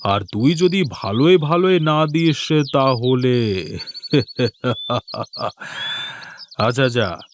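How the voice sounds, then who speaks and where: Cartoon casting: actor as greedy village headman, menacing, unhurried mid-range voice over prominent music. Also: medium pitch, expressive. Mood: sly, commanding. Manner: domineering, menacing, drawn-out. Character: bullying, greedy male village headman and landlord. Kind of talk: cartoon voice acting.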